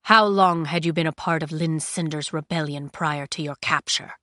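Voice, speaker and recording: A woman's voice: sits low, steady tone, moderate pace, sharp tone, no room sound, studio quality. A woman reading aloud, voicing an impatient female interrogator. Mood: cold, impatient.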